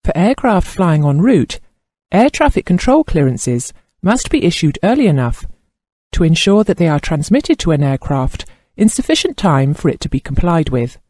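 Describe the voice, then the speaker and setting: Male narrator, scripted lesson, formal measured delivery, animated intonation, very dry close sound. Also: on the high side, no room sound. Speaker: instructor narrator.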